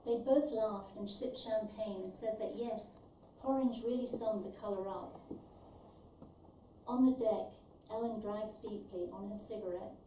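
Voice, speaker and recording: Very mellow middle-aged woman reading in a room. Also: medium pitch, steady tone, a little slow. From born in Ireland, raised in London. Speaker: author reading her own short story. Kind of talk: scripted literary reading aloud. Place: small event venue with stage, indoors. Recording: roomy, distant, faint background noise.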